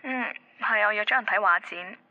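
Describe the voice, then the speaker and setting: A woman's voice: medium pitch, fast talker, highs cut off, natural voice. An actress playing a guarded, reluctant young girlfriend in a Hong Kong Cantonese radio drama, defensive and weary of commitment. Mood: evasive. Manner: evasive, defensive.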